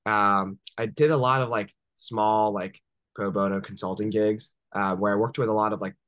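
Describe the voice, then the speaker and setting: A man's voice: lowish voice, fairly even intonation, normal speed, a bit bright, no echo. A young man in his twenties, a startup founder raised in the Washington DC area, telling stories casually over a video call with lots of fillers. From born in Japan, raised in Washington DC area. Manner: chatty, many fillers like "uh" and "like", laughs easily. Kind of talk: casual interview storytelling. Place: home room on a video call.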